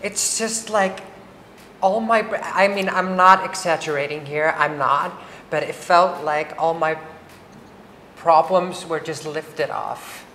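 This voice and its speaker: Low-voiced woman from Iceland, talkative. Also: slow, fairly bright tone, a little hiss or hum. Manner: chatty, many fillers and restarts. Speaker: interviewee. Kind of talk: casual interview. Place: large bright hall with wood screens. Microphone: lapel mic. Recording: roomy hall echo, faint ambience.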